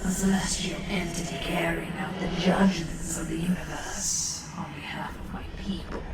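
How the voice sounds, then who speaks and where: A woman's voice: lowish voice, steady tone, moderate pace, heavy background noise, natural voice. A woman playing a vengeful alien ghost-like entity in an audio drama, menacing and grandiose, slipping into whispers. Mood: menacing, bitter. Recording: cavernous echo, distant voice.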